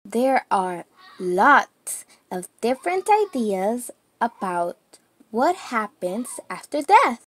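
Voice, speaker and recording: A woman reading a children's picture book aloud, deliberately, phrase by phrase with pauses. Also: a little high, very animated.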